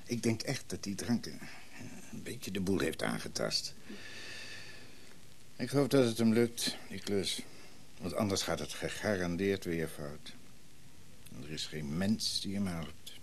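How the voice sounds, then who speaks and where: A man playing a worried, hesitant character who speaks haltingly, in a naturalistic radio drama. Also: low-pitched, animated, slight background noise. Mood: worried, brooding. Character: worried, hesitant man fretting about an ageing friend.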